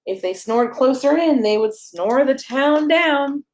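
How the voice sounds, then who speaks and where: A woman's voice: high voice, lively intonation, a little slow. A woman reading a rhyming children's picture book aloud as a storyteller, in a playful, sing-song way with emphasis on the rhymes.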